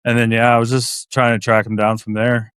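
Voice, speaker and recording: A man's voice: mid-range voice, monotone, brisk, fairly bright tone, clean tone, dry recording, studio quality. A man giving casual interview answers as a podcast guest, laid-back and agreeable. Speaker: guest racing driver.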